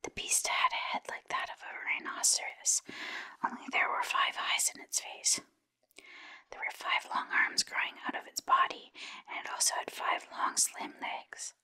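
A woman reading a children's story in a breathy, unvoiced whisper, as scripted ASMR-style narration. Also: measured pace, sharp tone, poor audio. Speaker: storybook reader.

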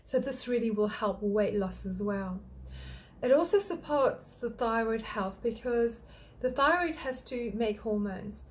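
An older woman from Australia gives a friendly health talk into a webcam in a small home office. Her voice sits a little high, and she works through her points one at a time in a patient, explanatory way. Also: unhurried. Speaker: thyroid clinic practitioner. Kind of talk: direct-to-camera health talk.

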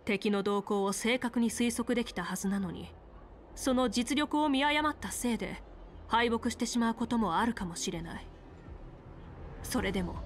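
Resolute, commanding female general. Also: a little high. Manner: authoritative, measured explaining. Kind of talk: Japanese anime game voice acting. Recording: music behind.

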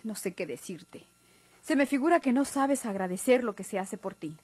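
An actress playing a bossy, proud sweetheart with a Mexican accent, emphatic and commanding, in old radio drama style. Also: female voice, on the high side, expressive, moderate pace, darkish tone, husky, no room sound. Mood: reproachful. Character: bossy, encouraging, proud sweetheart of a timid young man.

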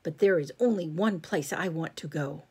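A woman's voice: deep voice, moderate pace, a little mellow, slightly rough. A woman reading a storybook aloud, voicing a spoiled, rude young princess with a bratty, defiant manner.

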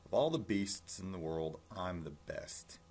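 A vain rabbit from a storybook, acted out by a man reading aloud. The voice is low and full of self-importance, snappy and boastful. A slight noise sits in the background. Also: normal speed, fairly bright tone. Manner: bragging, snappy.

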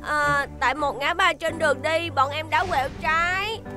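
A woman voicing a small, polite, eager child in an animated children's cartoon, with music underneath. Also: high-pitched, normal speed, close to an everyday voice. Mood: hesitant.

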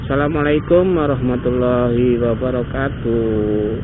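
A man's voice: mid-range voice, steady tone, unhurried. A man from Indonesia chatting casually as a motovlogger while riding outdoors. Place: outdoors, riding a motorbike on city roads. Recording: dull helmet mic with loud traffic and engine noise. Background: loud engine, wind and passing traffic.

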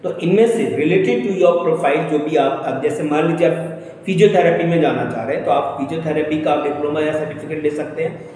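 An Indian man presents an educational voice-over in a fairly high-pitched voice. He addresses viewers directly and instructs point by point. The recording is heavily echoed, with a long reverb tail around every phrase. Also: normal speed, dark tone. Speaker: host of an educational career channel.

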